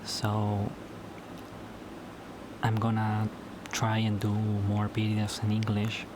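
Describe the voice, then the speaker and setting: A slow, low-voiced man whose native tongue is Spanish, chatting alone to his camera at home late at night. He talks haltingly, restarting and repeating words as he goes. A clear hiss of background noise sits under the recording. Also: completely flat delivery. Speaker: YouTuber. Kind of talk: casual solo vlog talk.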